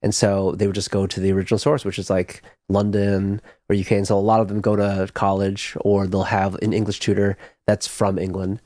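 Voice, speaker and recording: A man's voice: on the low side, little pitch movement, fairly quick, few pauses, darkish tone, no echo, clean studio sound. A man from Chicago chatting casually on a podcast, rambling with fillers and restarts. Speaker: podcast guest, musician. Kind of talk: casual chat.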